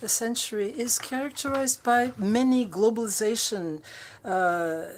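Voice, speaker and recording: A woman interpreting a conference speech live, halting often with fillers and restarts. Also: fairly high pitch. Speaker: simultaneous interpreter.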